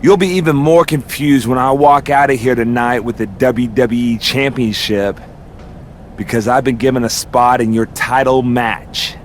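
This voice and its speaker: A man's voice: medium pitch, fairly even intonation, moderate pace, slight background noise, close to an everyday voice. A man playing a vain, self-satisfied pro wrestler in a video game, smug and needling. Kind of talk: scripted video game cutscene acting.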